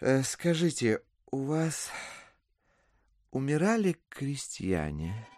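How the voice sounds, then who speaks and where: An ingratiating gentleman schemer in a classic audio drama. His voice swoops widely and takes its time, wheedling with hesitant politeness in a close, dry recording. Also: mid-range voice, very animated, slow, no room sound. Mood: coaxing. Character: smooth, wheedling gentleman schemer buying from landowners.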